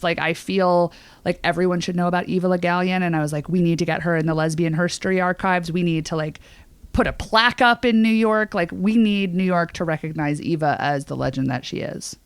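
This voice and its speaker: Enthusiastic female co-host, slightly low voice. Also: clean tone, dry recording. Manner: enthusiastic, emphatic. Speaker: podcast co-host. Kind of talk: casual chat.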